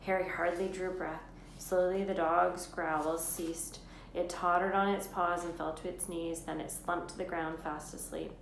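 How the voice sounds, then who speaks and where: Low-pitched woman reading aloud in an echoey room. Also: little pitch movement, unhurried, gravelly. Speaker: read-aloud storyteller. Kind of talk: expressive children's novel narration. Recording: roomy echo, faint background noise.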